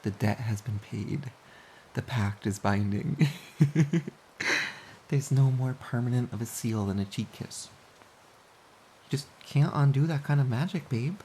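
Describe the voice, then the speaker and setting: A low, mellow voice of a woman acting as a playful romantic partner snuggled up in bed. The delivery is slow, intimate and teasing, with a mock-pouty streak. Faint hiss sits behind the voice. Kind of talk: intimate audio roleplay, soft casual pillow talk.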